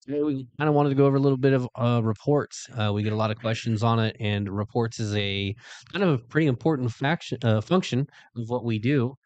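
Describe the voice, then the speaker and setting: A man hosting a casual workplace talk show, chatting quickly with lots of fillers. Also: mid-range voice, fairly even intonation, a little mellow, no echo, highs cut off. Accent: Midwestern American. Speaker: show host, security lieutenant.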